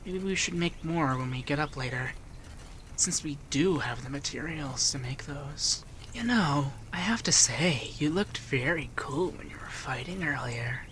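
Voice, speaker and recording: A man playing a doting, teasing feminine male monster companion in a softspoken, often whispered ASMR roleplay. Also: a little high, lively intonation, a little slow, a little hiss or hum. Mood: easygoing, warm. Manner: breathy, often dropping to a whisper.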